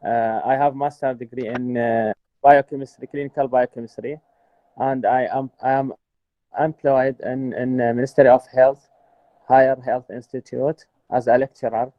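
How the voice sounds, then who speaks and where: A man in his thirties with an Iraqi Arabic accent speaks at a middle pitch. His phrasing is halting, and the video call sounds dry and dull. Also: level tone, dry recording. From Iraq. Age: 30s. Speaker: student, health institute lecturer. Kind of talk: halting self-introduction in a second language.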